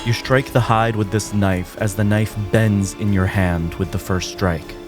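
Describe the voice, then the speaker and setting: A man narrating as game master, describing scenes in a calm, measured way. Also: fairly low pitch, loud music behind the voice.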